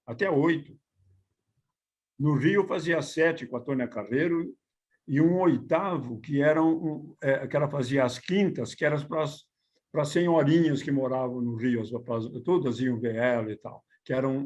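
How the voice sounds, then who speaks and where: Higher-voiced Brazilian man in his seventies. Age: 70s. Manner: repeats words, informal storytelling. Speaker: veteran theatre producer, main speaker. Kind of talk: casual reminiscing in a group online talk.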